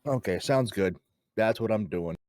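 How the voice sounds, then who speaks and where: A man's voice: on the low side, moderate pace, darkish tone, no echo, studio quality. A man playing a tabletop game, casually describing what his character does.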